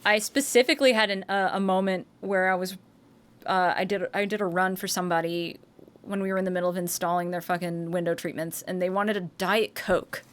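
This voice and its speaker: A woman's voice: on the low side, steady tone, fairly quick. Rambling American woman streamer. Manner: rambling, with frequent restarts, swearing and laughter. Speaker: streamer and voice actor. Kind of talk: casual live-stream chat.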